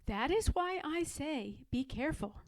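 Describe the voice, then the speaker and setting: Storybook mother, voiced by a woman: cautioning and knowing, firm words, very varied pitch. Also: expressive, measured pace, a little mellow, no echo, studio quality. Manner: knowing, admonishing. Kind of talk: children's storybook read-aloud.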